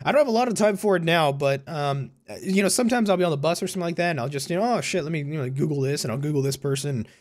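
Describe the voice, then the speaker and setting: A man's voice: on the high side, rapid-fire, hardly any pauses. A man in his early twenties with a Californian American accent, chatting casually and informally to viewers in a rambling way, often correcting himself. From Concord, California, USA. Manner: rambling, informal, self-correcting, frequent "you know" and "like". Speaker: YouTuber and university student. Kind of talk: casual personal vlog talk to viewers.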